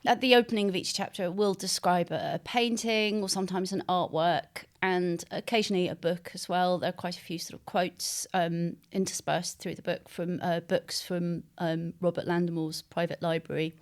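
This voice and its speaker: A woman with a smooth, clear voice that sits a little low. She talks as an interviewed author, explaining things reflectively and pausing as she shapes her phrases. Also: fairly even intonation. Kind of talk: interview talk about own writing.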